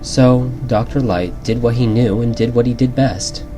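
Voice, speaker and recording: A man's voice: medium pitch, moderate pace, low-quality recording. A man narrating a rhyming bedtime storybook as a storyteller, in an ASMR style. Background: background music.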